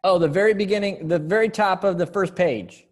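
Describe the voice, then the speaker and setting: A man's voice: sits high, fairly quick. A young adult man casually directing a drama practice over a video call, phrasing things informally and a little hesitantly. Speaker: activity leader directing a readers theater practice. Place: indoor room on a video call. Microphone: wired earphone mic on a video call.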